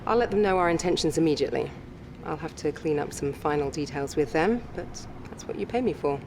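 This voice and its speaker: An actress playing a composed, businesslike sports agent in a game cutscene, measured and reassuring as she advises a client. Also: deep voice, lively intonation, background noise. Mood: pleased, businesslike. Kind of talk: scripted game cutscene dialogue.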